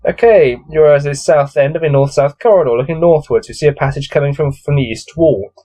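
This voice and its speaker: Male gamebook host, slightly high but soft-edged voice, casual read-aloud with weary, grumbling asides. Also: a little fast. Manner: bored, exasperated, grumbling. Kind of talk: casual commentary mixed with read-aloud narration.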